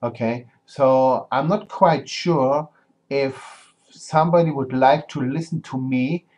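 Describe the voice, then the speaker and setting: A man's voice: medium pitch, slow, darkish tone. German guy, accent, asking a question kinda hesitantly. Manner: careful, hesitant non-native English. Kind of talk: recorded video question.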